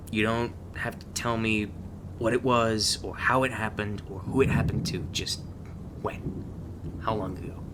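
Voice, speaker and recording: A man playing a gruff, emotionally distant veteran monster-hunting agent in an ASMR roleplay, speaking in a blunt, halting, sardonic way. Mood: guarded, grudging. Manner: blunt, halting, sardonic, sighing between thoughts. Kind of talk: ASMR roleplay, intimate one-sided dialogue. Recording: noisy, rumbling thunderstorm-like background sound.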